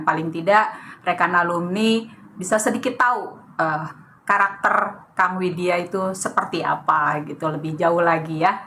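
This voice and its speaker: A woman hosting an alumni interview, speaking slowly and deliberately with many filler sounds. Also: lowish voice, fairly bright tone, slight background noise. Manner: slow, deliberate, filler-heavy. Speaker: host.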